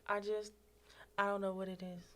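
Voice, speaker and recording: A young woman guest in a casual chat who talks in a hesitant, halting way, often stopping and restarting. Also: medium pitch, level tone. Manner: hesitant, halting, soft-spoken.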